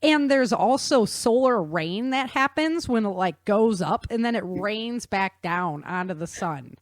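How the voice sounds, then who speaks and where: Woman chatting through science stuff, casual n slangy, mid pitch, dry close recording. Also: expressive, unhurried, no room sound. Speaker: author and presenter. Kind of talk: informal explanatory talk on science.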